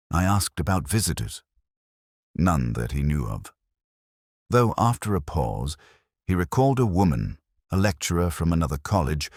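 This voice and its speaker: Very deep-voiced man narrating a brooding mystery. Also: no room sound, studio quality. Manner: measured, brooding, literary delivery. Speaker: narrator of a detective mystery story. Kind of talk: scripted first-person detective narration.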